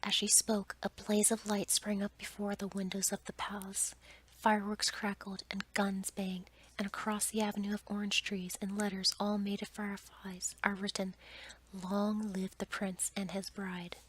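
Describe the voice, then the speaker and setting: A woman's voice: medium pitch, completely flat delivery, moderate pace, low-quality recording. Woman whispering a bedtime story. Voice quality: breathy whisper. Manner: soft, hushed, gentle. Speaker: ASMR storyteller.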